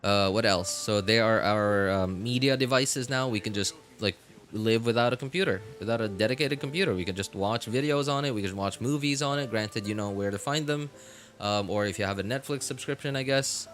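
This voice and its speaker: A man's voice: on the low side, fairly quick, a bit bright, faint music behind. A man chatting casually as a game streamer, rambling and thinking aloud to his viewers. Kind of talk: casual rambling livestream chat.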